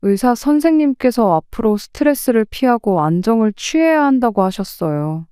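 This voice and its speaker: Devoted adult daughter, played by a woman, earnest and protective, smooth and clear tone, dry close mic. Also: a little high, animated, normal speed, dark tone, no room sound, close to an everyday voice. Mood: earnest, worried. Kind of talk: audio drama acting.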